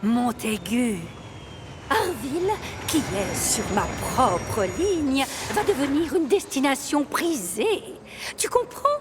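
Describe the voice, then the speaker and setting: A woman's voice: high-pitched, very animated, slow, noisy background, heavily acted. A woman voicing a vain, boastful female railcar with a grandiose, affected manner in a children's cartoon. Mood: haughty bragging. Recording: music behind the voice.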